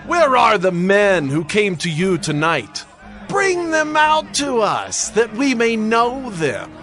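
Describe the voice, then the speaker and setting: Nasty mob townsman, high pitched, slow drawn-out demands, bible drama. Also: huge pitch swings, a bit bright, soft background music, exaggerated voice. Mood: menacing demand. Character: menacing, demanding townsman of Sodom leading a mob. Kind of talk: dramatized scripture reading.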